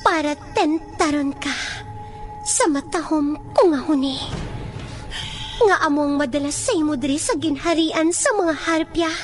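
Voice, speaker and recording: An actress playing a proud, stern harpy princess in a Filipino radio drama, measured and reproachful, with a Filipino accent. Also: female voice, high voice, soft background music. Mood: commanding.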